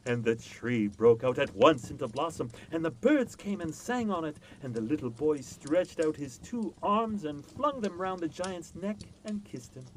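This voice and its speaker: Animated male storybook narrator. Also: a little high, normal speed, few pauses, a little hiss or hum, poor audio. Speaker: storyteller, reader. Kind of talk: expressive scripted story narration.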